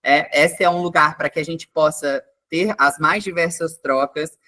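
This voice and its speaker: A young man from Brazil hosts an online meeting over a video call. He speaks with a bright, forceful tone. His manner is chatty, and he sprinkles his talk with tag questions and fillers. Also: on the high side, fairly even intonation, unhurried. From Minas Gerais, Brazil. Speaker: webinar host, experience coordinator. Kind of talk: online webinar presentation.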